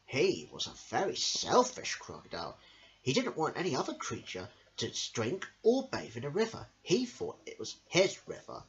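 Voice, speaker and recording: Male amateur storyteller for children's tales: sing-song, very animated intonation, mid pitch, dull lo-fi home recording. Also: moderate pace. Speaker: amateur storyteller, channel host.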